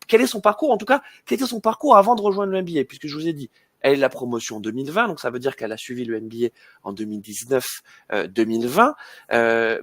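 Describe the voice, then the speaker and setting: Male webinar host, mid pitch, stumbling and repetitive, dry close sound. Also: dry recording. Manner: hesitant, many fillers and repeated words. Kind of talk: webinar hosting. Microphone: video call.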